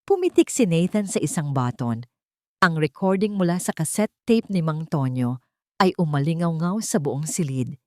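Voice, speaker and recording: Low-voiced woman narrating a dramatic story. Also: big pitch swings, moderate pace, a little mellow, no room sound, studio quality. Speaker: audiobook narrator.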